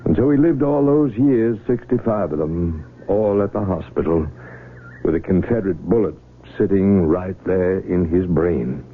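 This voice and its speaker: Old narrow-band radio sound: male actor as low-voiced, matter-of-fact physician, measured and thoughtful. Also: slight background noise, lightly acted. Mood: sober, reflective. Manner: measured, explanatory. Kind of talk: 1970s radio drama dialogue.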